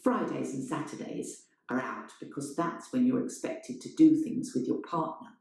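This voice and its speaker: A woman's voice: very deep voice, moderate pace, gravelly, darkish tone. A woman voicing a wry, confiding adulterous man in a stage monologue read as a story. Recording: very echoey room.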